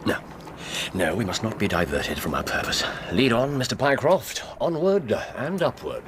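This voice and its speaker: An actor in a radio drama playing a keen, commanding English gentleman detective with a clipped, analytical manner. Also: medium pitch, sing-song, normal speed, close to an everyday voice. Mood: watchful, intrigued. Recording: radio drama with clear background noise.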